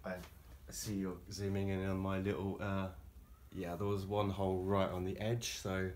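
A man's voice: sits low, completely flat delivery, moderate pace, a little mellow. A man giving a chatty, wry, informal guided tour in a hesitant way. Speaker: boat owner and builder giving a tour. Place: large cluttered covered deck space inside a boat. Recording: echoey boat interior with background noise.

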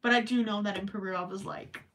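A woman's voice: mid-range voice, fairly even intonation, fast, fairly bright tone, recorded from a distance, slight background noise. Chatty young woman with a Minnesota accent. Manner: chatty, rambling, joking asides with frequent laughs. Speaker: crochet livestream host. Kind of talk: casual livestream chat. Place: small indoor craft room with yarn shelves.

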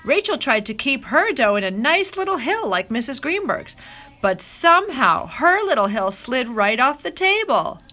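A woman reading a children's picture book aloud with expressive, drawn-out dramatic phrasing. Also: sits high. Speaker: storybook reader.

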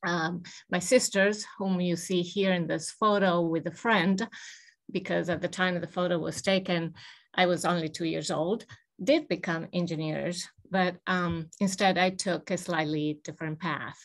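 An older woman from Italy tells her life story from a home office with bookshelves. She speaks very slowly and thoughtfully, pausing often to gather her words, in a somewhat low voice. The sound comes through a webcam on a video call. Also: fairly even intonation. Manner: measured, thoughtful, many hesitant ums. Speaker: guest speaker. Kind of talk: personal history talk on a video call.

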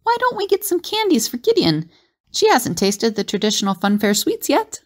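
A woman playing a sceptical, observant time-travelling companion in an audio drama, articulate and questioning, with a creaky, husky voice. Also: a little high, huge pitch swings, moderate pace.

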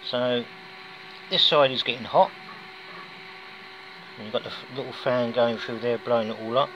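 A man's voice: mid-range voice, fairly bright tone. Chatty British man on lo-fi phone audio. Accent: British English. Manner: chatty and rambling, with folksy asides. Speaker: hobbyist vlogger. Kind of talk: casual DIY demonstration. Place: indoors, garage or workshop floor. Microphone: handheld phone filming. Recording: dull lo-fi phone audio with clear background noise.

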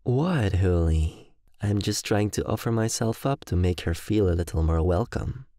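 A man's voice: low voice, animated, moderate pace, dark tone, no echo, clean studio sound. A man playing a cruel, smug young demon bully who drawls tauntingly in an audio roleplay. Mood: feigned sincerity. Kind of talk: ASMR audio roleplay acting.

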